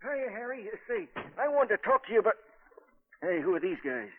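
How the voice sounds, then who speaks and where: Folksy old boozer sidekick, swoopy high voice, rambling and forgetful, old tinny radio show. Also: male voice, lively intonation, normal speed, a little hiss or hum. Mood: rambling, friendly. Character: rambling, good-natured drunken old sidekick. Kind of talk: 1940s radio acting. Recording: tinny 1940s radio recording.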